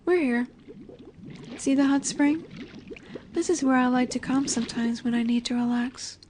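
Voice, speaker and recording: A woman voicing a nurturing, gently commanding lamia in an intimate fantasy roleplay audio, soft and affectionate. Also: high voice, normal speed, slight background noise, close to an everyday voice. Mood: inviting, gently teasing. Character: dominant, nurturing female lamia, a serpent monster girl. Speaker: voice actress.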